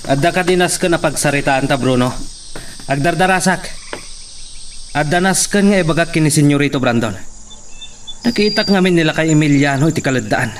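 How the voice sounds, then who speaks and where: Casting: male actor as earnest henchman, fairly high voice, deferential, Ilocano accent, recorded at a distance among crickets. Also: a little fast, long pauses, lightly acted. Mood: hurried. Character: dutiful, hurried henchman serving a young master. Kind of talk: radio-style Ilocano drama acting. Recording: distant mic, faint noise, crickets behind.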